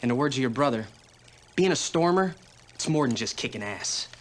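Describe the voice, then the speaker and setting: An actor playing a laid-back, cocky fellow soldier in a game cutscene, talking in a slangy, teasing way. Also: male voice, medium pitch, lively intonation, brisk, natural voice. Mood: wry. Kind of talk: casual video game cutscene acting.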